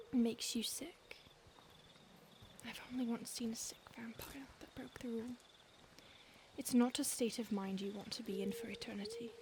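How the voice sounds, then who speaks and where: A woman voicing a centuries-old female vampire stalker in an audio roleplay, speaking softly and often in a whisper, calm and menacing. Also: a little high, close to an everyday voice. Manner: soft, intimate, often whispered. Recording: noisy background hiss.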